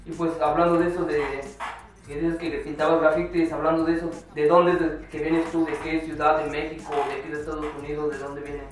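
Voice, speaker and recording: A man's voice: on the high side, level tone, brisk, far from the mic. A young man hosting a casual interview, friendly and hesitant with many fillers. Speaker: interview host. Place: small home recording studio. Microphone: far camera mic. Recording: echoey room, voice from afar.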